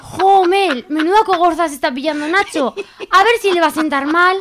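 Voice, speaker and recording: A woman puts on a worried girlfriend for a radio soap. The voice is pushed high and squeaky, fretting over everyone. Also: fairly quick, fairly bright tone. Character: worried, fretful girlfriend Pati. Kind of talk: amateur radio soap acting.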